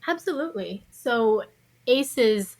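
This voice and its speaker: A woman's voice: on the high side, expressive, slow. A woman speaking as an interview guest, explaining her subject in an articulate, conversational way.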